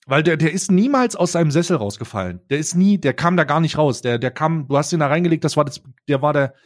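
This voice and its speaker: A young man tells a wild story on a podcast, animated and slangy, tripping over his own restarts. His voice sits a little higher than most men's. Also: quick pace. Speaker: podcast co-host. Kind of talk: casual storytelling.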